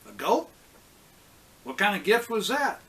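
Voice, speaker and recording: A man plays a young village girl in a children's storybook. The girl is gentle, polite and earnest, speaking slowly, with faint noise behind. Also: sits high, sing-song, pitched above the performer's own voice, exaggerated voice. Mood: puzzled.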